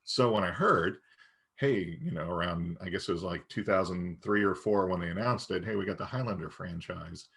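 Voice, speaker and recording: A man's voice: low-pitched, moderate pace, vocal fry, fairly bright tone, slightly rough. A man co-hosting a podcast in casual, fan-to-fan conversation. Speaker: podcast co-host.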